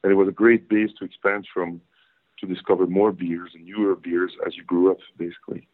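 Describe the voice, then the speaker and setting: Over a thin, phone-like connection, a middle-aged Belgian man speaks in a fairly low voice. He explains things conversationally, circling back on phrases for emphasis as he answers an interviewer. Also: level tone, fairly quick. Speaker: interview guest, brewing and hops professional. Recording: tinny phone-like band-limited line.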